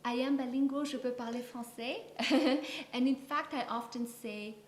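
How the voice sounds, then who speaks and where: Higher-voiced middle-aged woman in an echoey room. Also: steady tone, a little slow. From Vietnam. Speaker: guest. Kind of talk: telling her life story in an interview. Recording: echoey room, faint noise.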